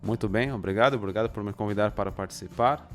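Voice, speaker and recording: Brazilian man, interview guest, fairly low voice, slow deliberate pauses, faint guitar music behind. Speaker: guest, polyglot. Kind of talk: casual interview.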